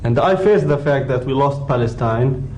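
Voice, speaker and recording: A man's voice: mid-range voice, lively intonation, moderate pace, mellow, slight background noise, poor audio. Man answering firmly and directly. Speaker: interviewee.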